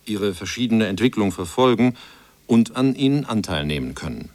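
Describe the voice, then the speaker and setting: Lowish male quotation reader, dry sound. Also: expressive, normal speed, darkish tone, no echo. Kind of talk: scripted read-out quotations in a radio feature.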